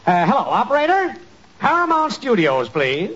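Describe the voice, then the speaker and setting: An actor playing a sly, smooth-talking small-time hustler in 1940s radio comedy, with hesitant, confidential patter. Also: male voice, very high-pitched, wildly swooping pitch, moderate pace, a bit bright, full character voice. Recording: muffled, noisy 1940s radio recording.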